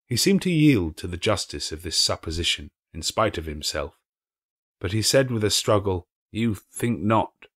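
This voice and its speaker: Male narrator for literary audiobook: fairly low voice, measured, expressive storytelling.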